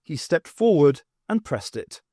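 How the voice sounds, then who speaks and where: Man reading an audiobook, lively pitch, dramatic storytelling, very dry close sound. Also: a little slow, no echo. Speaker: audiobook narrator. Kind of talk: scripted dramatic narration.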